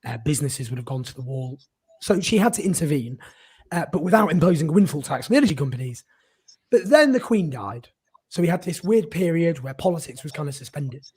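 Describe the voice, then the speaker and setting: A hoarse man hosts a casual political talk show, emphatic and indignant, with ums and repeated words. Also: fairly high pitch, lively intonation, fairly quick, darkish tone. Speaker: political commentator and show host. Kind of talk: casual political monologue to camera.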